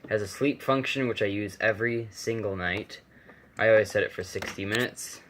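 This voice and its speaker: A man's voice: fairly low pitch, fairly quick, a bit bright. A man giving a casual, off-the-cuff demonstration of a vintage radio as an amateur vlogger. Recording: clear background noise, clicks.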